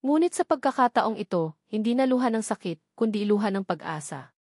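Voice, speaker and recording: A woman's voice: mid-range voice, lively intonation, brisk, darkish tone, dry recording. Filipino woman narrating, dry close sound. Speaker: audiobook narrator. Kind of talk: scripted dramatic narration of a love story.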